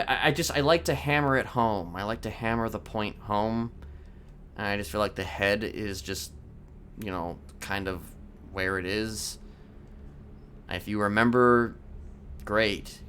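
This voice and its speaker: Low male voice, man acting roleplay villain, halting and rambling, casually sadistic, matter-of-fact, noisy background. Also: fairly bright tone. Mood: casual, unrepentant. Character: rambling, casually sadistic male criminal confessing his violent deeds. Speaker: streamer role-playing a character. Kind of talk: improvised in-character roleplay dialogue. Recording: clear background noise.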